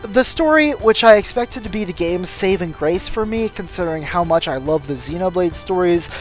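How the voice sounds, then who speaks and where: A man's voice: high voice, animated, moderate pace, soft background music. A man reading scripted voice-over commentary as a video game reviewer.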